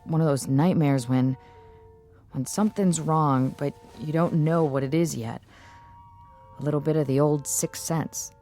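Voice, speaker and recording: An actress playing a thoughtful, quietly uneasy woman in a radio drama, measured, dry and understated. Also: low voice, faint music behind. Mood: uneasy, wary. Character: thoughtful, uneasy female language analyst, quietly wary.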